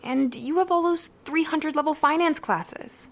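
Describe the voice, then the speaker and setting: A woman's voice: high-pitched, measured pace, fairly bright tone. A young woman playing an earnest, self-doubting drama student in an audio drama.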